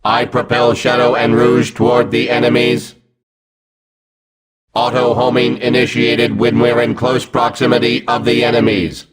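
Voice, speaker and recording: Guy doing a game combat robot, very flat, terse mechanical delivery, medium pitch for a man. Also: a little slow, over music, lightly acted, low-quality recording. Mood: flat, matter-of-fact. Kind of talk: video game tutorial voice lines.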